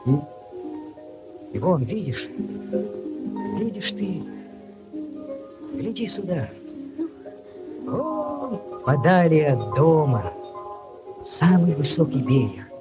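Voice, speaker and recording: Casting: ardent young village lad, theatrical storyteller for vintage radio play, high and very animated. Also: male voice, takes it slowly, long pauses, highs cut off. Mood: mournful. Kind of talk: 1940s Soviet radio play acting. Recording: 1940s radio recording with music.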